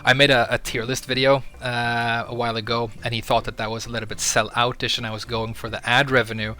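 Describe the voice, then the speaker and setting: A man's voice: medium pitch, little pitch movement, quick pace, bright, forceful tone. A young man from Sweden talking candidly to camera as a video creator, earnest and a little hesitant with fillers. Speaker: YouTuber. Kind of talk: casual talk to camera.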